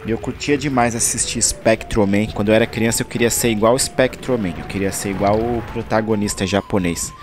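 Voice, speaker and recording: A man's voice: lowish voice, fairly even intonation, a little fast, few pauses, music in the background. A man talking casually over his gameplay footage, rambling and searching for words, from Brazil. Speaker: gaming channel host.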